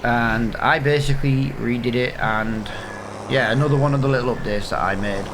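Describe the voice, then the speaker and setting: A man's voice: mid-range voice, normal speed, background noise. A man with a congested, sniffly voice, casually thinking aloud with lots of fillers while playing a farming game. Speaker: gaming channel host. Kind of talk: casual let's-play commentary.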